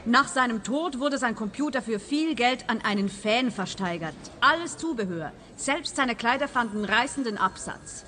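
An actress playing a pushy, indignant, talkative amateur sleuth in a comic radio play. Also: female voice, a little high, expressive, moderate pace, few pauses, bright, forceful tone, a little hiss or hum. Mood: earnest explaining. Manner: assertive, indignant.

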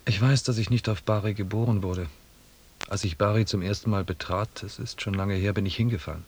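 An actor playing a reflective expedition scribe who narrates a radio drama in a measured, restrained and quietly melancholy way. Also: male voice, fairly low pitch, fairly even intonation, husky. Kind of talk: scripted first-person narration in a German radio drama.

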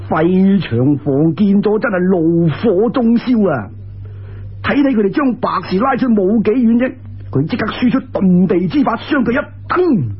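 A man's voice: high voice, breathy edge, highs cut off. A man with a Cantonese accent telling a folk tale in a dramatic, emphatic storytelling style.

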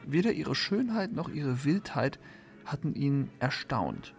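Wry male storyteller for literary radio drama, higher-pitched, gravelly edge, music behind. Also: sing-song, a little slow. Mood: wry, deliberate. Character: wry, hesitant male narrator of a 1920s Paris crook tale. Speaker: narrator in a radio play. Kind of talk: literary radio play narration.

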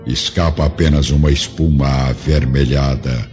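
A man's voice: rumbling low, fairly even intonation, normal speed. A man narrating a radio drama in a solemn, grave and theatrical scripted style. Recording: muffled radio drama with faint music.

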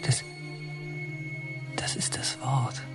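An actor playing a brooding, haunted young man who narrates his memories in a deliberate, halting, literary radio drama style. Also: whispered, loud music behind the voice. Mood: reflective. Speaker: narrator and protagonist.

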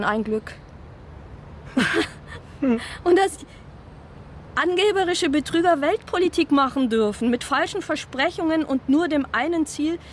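A woman's voice: sits high, slight background noise. An actress playing a disillusioned older woman, once a radical, who talks in earnest, reflective speeches. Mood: indignant. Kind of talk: radio drama acting.

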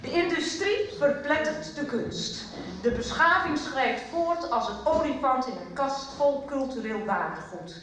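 A woman's voice: high voice, animated, unhurried, noisy background. An actress playing a proud, defiant woman artist, making a wordy, wry speech in a radio soap. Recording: echoey hall, distant, music behind.

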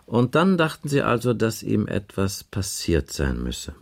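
An actor playing a stern detective inspector in a German radio crime drama, questioning in an insistent, clipped, probing manner. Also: male voice, fairly low pitch, wildly swooping pitch, unhurried, creaky, exaggerated voice. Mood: pressing, reproachful.

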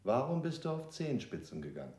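Dark mellow threat, low, echoey room. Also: male voice, wildly swooping pitch, fast. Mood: menacing. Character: dark, threatening male interrogator.

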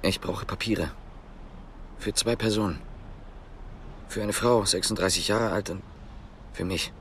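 Casting: wary East German detective, lowish voice, terse and probing, radio drama with faint background noise. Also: male voice, steady tone, a little slow, fairly bright tone. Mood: tense, determined.

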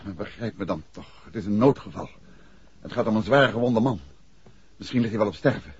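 An actor playing a decisive, commanding gentleman detective in a 1960s radio drama. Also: lowish voice, a little fast, breathy edge. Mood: insistent. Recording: dull vintage radio drama recording.

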